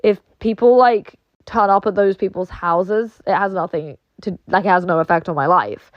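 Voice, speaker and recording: A woman's voice: mid-range voice, moderate pace, no room sound, muffled recording. Casual British-accented woman ranting, dry sound. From not British; moved to England. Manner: swears often, many "like" fillers, mocking quoted voices.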